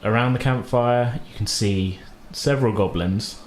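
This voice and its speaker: A man's voice: medium pitch, normal speed. A man running a tabletop game, improvising descriptions in a loose, colloquial, hesitant way. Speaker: game master. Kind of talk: improvised tabletop game narration and casual chat. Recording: roomy echo, faint background noise.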